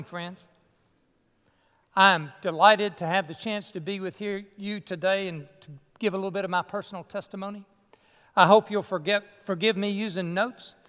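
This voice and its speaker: A man's voice: sits high, bright, forceful tone, long reverb tail. A man pastor playing the reformer Martin Luther in a sermon monologue, folksy and self-deprecating, with a Southern US accent. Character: Martin Luther, old German monk reformer, earnest. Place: church sanctuary.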